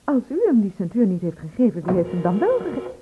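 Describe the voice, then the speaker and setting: A woman's voice: mid-range voice, big pitch swings, fast, noisy background, natural voice. An actress playing an anxious woman in a radio play, speaking in a hesitant, confiding way. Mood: confiding, uneasy. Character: anxious, confiding woman, shaken witness to a murder. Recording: tinny, narrow-band old radio recording.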